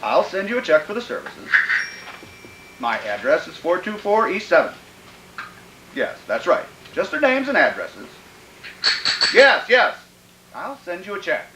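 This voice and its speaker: An actor playing a sinister, gleefully deranged killer who poses as a polite employer, fussing and repeating himself in old-time radio thriller style. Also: male voice, high-pitched, big pitch swings, measured pace, long pauses, sharp tone, reverberant, slight background noise, full character voice, low-quality recording. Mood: brisk, agitated. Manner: fussy, repetitive, muttering to himself.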